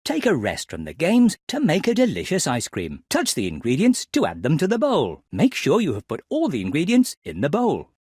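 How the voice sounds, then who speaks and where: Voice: mid-range voice, huge pitch swings, normal speed, hardly any pauses, a little mellow, breathy edge, no echo, clean studio sound. A narrator of a children's game, giving scripted instructions expressively for young children. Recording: music behind the voice.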